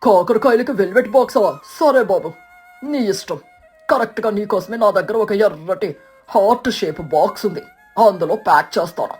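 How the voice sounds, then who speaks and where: A woman plays a husky-voiced male stork shopkeeper in a children's cartoon. He sounds bemused but accommodating. Music runs behind the lines. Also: medium pitch, normal speed, a little mellow, voice pushed down, lightly acted. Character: obliging older male stork gift shopkeeper. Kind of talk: children's cartoon story acting.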